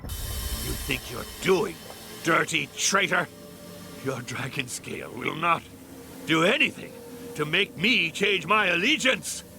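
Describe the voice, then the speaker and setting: A man playing a defiant, corrupted fallen hunter in a fantasy game, gravelly, menacing and contemptuous. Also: on the high side, expressive, slow pace, pauses often, over music, heavily acted. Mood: scornful defiance.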